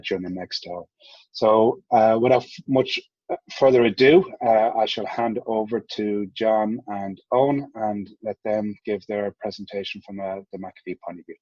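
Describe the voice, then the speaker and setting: A man's voice: lowish voice, no room sound. A middle-aged man with an Irish accent, moderating an online panel and chatting loosely with many filler sounds. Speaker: webinar moderator. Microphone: video call mic. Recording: dull lo-fi call audio.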